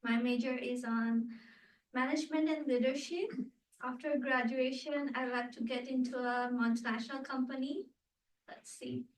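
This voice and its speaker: A woman's voice: high voice, fairly even intonation, normal speed. A woman, an MBA student giving a brief, composed self-introduction. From Bangladesh. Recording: roomy, distant, faint noise.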